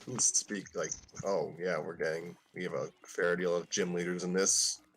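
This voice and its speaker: A man's voice: deep voice, little pitch movement, moderate pace, poor audio. A man hosting a casual group podcast, chatting and reading fan fiction aloud.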